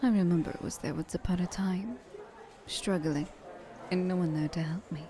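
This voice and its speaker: Woman playing a mellow, flirtatious ancient vampire lady. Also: fairly low pitch, moderate pace, natural voice. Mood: wistful, pleased. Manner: breathy asides, lapses into whispering, formal genteel phrasing. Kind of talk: ASMR roleplay, intimate monologue to listener.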